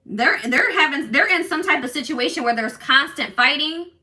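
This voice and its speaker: A woman doing a casual tarot reading for her viewers, talking in an assertive, loud way with lots of restarts and "like". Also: sits high, normal speed. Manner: animated, many restarts and fillers. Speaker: tarot reader.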